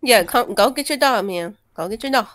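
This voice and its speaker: A woman's voice: a little high, animated, fairly quick, fairly bright tone. A woman playing a wary, wisecracking young housemate in an improvised online roleplay, hesitant and casual. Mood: teasing.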